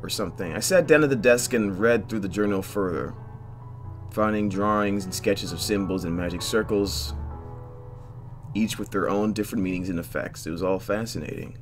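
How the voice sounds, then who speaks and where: A man hosting a game playthrough, reading visual novel narration aloud in an expressive way, stumbling now and then and dropping in joking asides. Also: fairly low pitch, normal speed, soft background music. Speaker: host of a video game playthrough.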